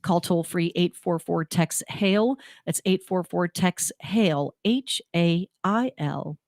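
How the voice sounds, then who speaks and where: Female host, New Jersey accent, low-pitched, chatty and rambling, dry studio mic. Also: slow pace, a little mellow, dry recording. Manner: chatty, rambling, self-deprecating. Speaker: radio show host. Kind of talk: casual chat with guests. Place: radio studio table.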